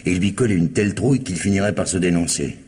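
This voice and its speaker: Male actor as a low-voiced indignant witness, streetwise, slangy, emphatic, radio crime drama, light background noise. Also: fast talker, darkish tone, natural voice. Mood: indignant, defiant. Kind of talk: 1950s-style French radio crime drama acting. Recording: noisy old radio drama recording.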